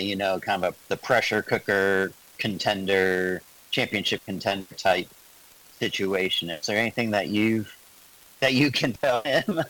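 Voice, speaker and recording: A man, a reporter, asking a question at a press conference, halting and searching for words. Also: lowish voice, a bit bright, rough recording.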